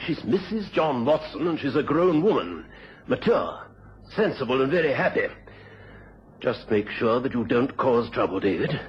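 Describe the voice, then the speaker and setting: An actor playing a commanding, titled country gentleman with an upper-class English accent in an old radio drama. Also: mid-range voice, very animated, moderate pace, close to an everyday voice. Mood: stern, menacing. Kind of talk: mid-century British radio drama acting. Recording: dull, faintly noisy old radio recording.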